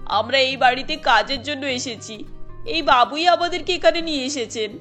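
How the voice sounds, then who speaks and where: A woman playing a poor, anxious village mother in a cartoon story, speaking gently and imploringly. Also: sits high, moderate pace. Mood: humble pleading. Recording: music bed under the voice.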